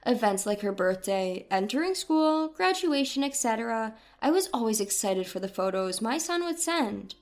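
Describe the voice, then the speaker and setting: A woman narrates a scripted story in a high voice with lively, wide-swinging intonation. Her reading is expressive and dramatized, with music underneath. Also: moderate pace, hardly any pauses.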